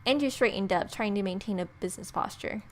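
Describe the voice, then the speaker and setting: A woman's voice: medium pitch, fast talker. A woman let's player narrating a visual novel aloud as she plays. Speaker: video game let's player.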